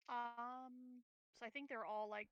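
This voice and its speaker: A woman's voice: on the high side, steady tone, measured pace, a bit bright, clear, resonant tone, no echo, muffled recording. A woman playing a tabletop game, chatting casually as she thinks her choices through aloud. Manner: deliberating aloud.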